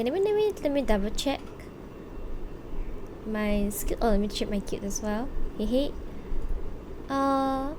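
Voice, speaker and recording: A woman's voice: high-pitched, noisy background. A woman livestreamer chatting casually and playfully with viewers, using gamer slang and the odd swear word, often thinking aloud. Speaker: live game streamer. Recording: music behind the voice. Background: video game soundtrack.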